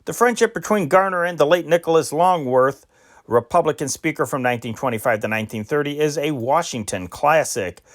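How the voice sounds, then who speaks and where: A man reading old newspaper dispatches aloud in an unpolished, measured way, with occasional slips. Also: fairly high pitch. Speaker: news reader.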